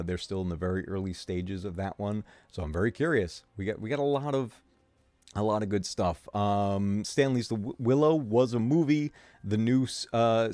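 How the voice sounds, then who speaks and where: A man's voice: low-pitched, a little mellow, dry recording. A male gaming streamer chatting casually to his viewers, full of ums and uhs, with a sore throat and a fading voice. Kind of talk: casual live-stream chat.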